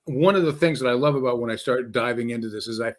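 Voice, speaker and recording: Man hosting, medium pitch, halting. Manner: halting, rambling. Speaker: interview host. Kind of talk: casual interview chat.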